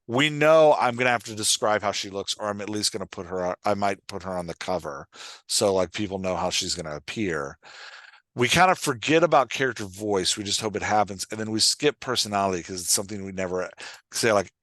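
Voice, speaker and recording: Male podcast coach, lower register, dry recording. Explains conversationally and checks for understanding. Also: fairly quick, fairly bright tone, dry recording. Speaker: podcast host and writing coach.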